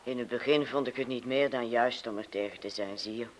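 A man's voice: mid-range voice, brisk, lightly acted. A young man played by a male actor in a radio play, chatty and restless. He is disillusioned with everything, and he questions and cajoles as he talks. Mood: world-weary, scornful.

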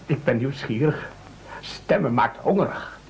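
A man's voice: mid-range voice, animated, measured pace, creaky. An actor in a radio play playing a greedy, fawning henchman with a theatrical, declamatory way of talking. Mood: hungry, impatient. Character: gluttonous, fawning, theatrically indignant male castaway henchman. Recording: dull, lo-fi radio play recording.

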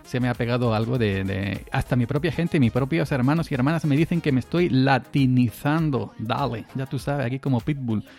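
A man's voice: medium pitch, a little fast, gravelly, few pauses, a little mellow. A man in his forties from southern Spain, hosting a casual live stream and chatting to listeners in a rambling way, often repeating words and chuckling. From Granada, Andalusia, Spain. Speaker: podcast host on a live stream. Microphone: desk mic through an audio interface. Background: faint background music.

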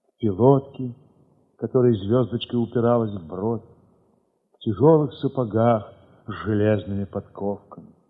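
A husky-voiced man narrates a literary audio drama with reflective, expressive phrasing and deliberate dramatic pauses. The recording is dull, with a long reverb trailing his words. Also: mid-range voice. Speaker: narrator of an audio play, reading in the first person. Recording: muffled, long reverberant hall sound.

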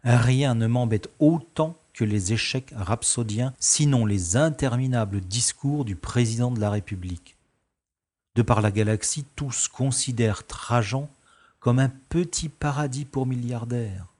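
A man's voice: medium pitch, unhurried, a little mellow, lightly acted. A man acting a bored, cynical special agent in a science-fiction audio drama, with sardonic, world-weary complaining. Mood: bored, grumbling.

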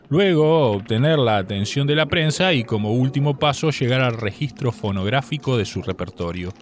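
A man with a Uruguayan Spanish accent reads scripted radio narration as a segment host, in a measured, literate, explanatory way. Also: medium pitch, a little hiss or hum.

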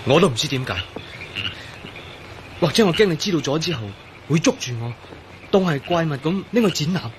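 A man's voice: fairly high pitch, expressive, brisk, pauses often, natural voice. Actor as an anxious, frightened young man, noisy backdrop. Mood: fearful confession. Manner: anxious, earnest, explaining. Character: frightened young man, hunted, confessing his fears. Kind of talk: Cantonese radio drama acting.

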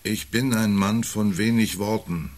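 An actor in a radio play playing a big, strong, stubborn man of few words, curt and gruff, with a husky voice. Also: on the low side, a little slow, darkish tone, close to an everyday voice. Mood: curt, tired. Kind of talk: German radio play acting.

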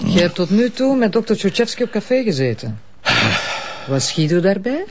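A woman playing a sharp, sceptical Flemish prosecutor in a television crime drama. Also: medium pitch, very animated, normal speed, highs cut off, noisy background. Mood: reproachful. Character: sharp, busy female prosecutor and exasperated wife. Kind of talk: naturalistic TV crime drama dialogue.

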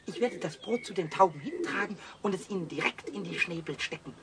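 Childish, excitable kobold for children's radio drama: piercing, very high, wildly animated, stuttering repeats. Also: male voice, a little fast, very noisy. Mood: excited, indignant. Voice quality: squeaky, piercing. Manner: stammering word repetitions, singsong rhyming. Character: cheeky, childish little male kobold, excitable and stubborn. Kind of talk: 1970s children's radio play acting.

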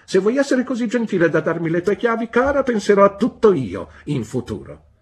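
A man voicing a stern, severe middle-aged woman, shrill and imperious. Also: big pitch swings, fairly quick, slightly rough, full character voice. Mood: falsely sweet.

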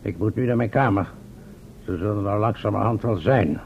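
A man's voice: fairly low pitch, normal speed, lightly acted. Man playing pompous mayor, muffled noisy recording. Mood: grumbling. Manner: formal, pompous, wordy. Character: pompous, self-pitying, hesitant town mayor. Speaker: radio play actor. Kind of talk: old Dutch radio play acting.

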